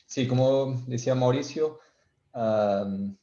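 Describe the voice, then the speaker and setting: A man's voice: mid-range voice, slow pace, mellow, echoey room, highs cut off. A man from Portugal speaking Spanish with a Portuguese accent, presenting a technical webinar and often restarting and searching for words. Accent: Portuguese, Spanish learned in Spain and Galicia. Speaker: sales engineer presenting a webinar.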